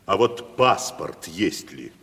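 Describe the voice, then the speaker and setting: A man's voice: deep voice, sing-song, takes it slowly, a bit bright, breathy edge, full character voice. An actor playing a pompous, down-at-heel provincial tragedian who talks down to his companion in a classical radio comedy. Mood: coaxing, threatening. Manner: patronising, grandly condescending. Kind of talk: Russian classical stage comedy, radio play. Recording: reverberant 1970s radio drama recording.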